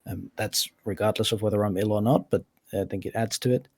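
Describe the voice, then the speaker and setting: A man's voice: on the low side, fairly even intonation, fairly quick, slightly rough, no echo. A middle-aged man with a cold and a nasal voice, explaining his legal work on a community call in a thoughtful, hesitant way. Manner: thoughtful, hesitant with frequent fillers and restarts. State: sick with a cold. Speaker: lawyer for a crypto organization.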